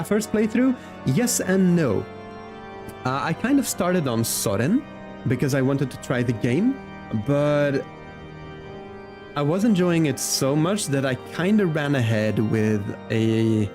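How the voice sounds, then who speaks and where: A man live-streaming a game, chatting casually and in a friendly way with his viewers while thinking aloud as he plays. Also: on the high side. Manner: friendly, talks directly to chat, thinks aloud. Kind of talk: casual chat and gameplay commentary for viewers.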